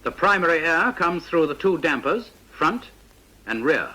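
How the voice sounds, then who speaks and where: A British man narrates an old instructional film in a precise, didactic style. His voice sits a little high and swings in pitch to stress key words. It is bright and forceful, with measured, scripted delivery. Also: expressive, slightly rough, rough recording.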